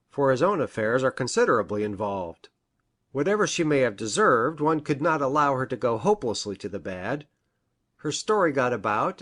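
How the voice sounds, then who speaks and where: Man acting worldly rural doctor, animated pitch, confiding, matter-of-fact, period radio mystery. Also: close to an everyday voice.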